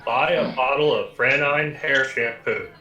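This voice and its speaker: A man voicing an impassive computer in a radio comedy, formal, measured and precise. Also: medium pitch, bright, forceful tone, reverberant, dull audio.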